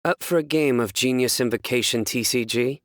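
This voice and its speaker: An actor playing a solemn, stern young enforcer of justice in a video game, speaking in a measured, formal, deadpan way. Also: male voice, mid-range voice, no echo, studio quality, close to an everyday voice. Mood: solemn, reflective. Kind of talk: scripted video game character voice lines.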